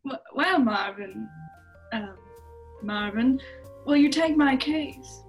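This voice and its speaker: A woman's voice: high voice, animated, slow pace, full character voice. A woman playing a sultry, scheming femme fatale in a comedy noir radio drama. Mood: coy, persuasive. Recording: music bed over video-call audio. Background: music.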